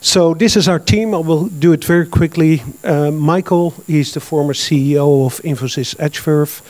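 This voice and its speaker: A man in his fifties with a Dutch accent, giving a business presentation at a conference through a handheld microphone, talking plainly and filling pauses with uh and um. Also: a little high, a little mellow, no room sound. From Netherlands. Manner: plain, matter-of-fact, with frequent uh and um. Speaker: conference speaker. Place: large conference hall with audience.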